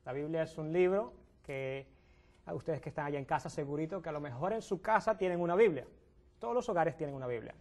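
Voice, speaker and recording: Young guy hosting a church youth show, kinda high voice, stumbles and restarts a lot. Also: animated, a little mellow. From Dominican Republic. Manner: halting, restarts words, addresses viewers at home. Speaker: youth pastor and host. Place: TV studio.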